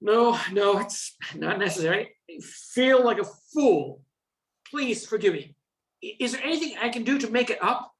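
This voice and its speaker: A high-voiced, brusque young male professor, played by an older woman in an amateur radio play. The delivery is stiff and indignant, easily flustered, and the room echoes around it. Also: animated, normal speed. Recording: roomy, echoing sound.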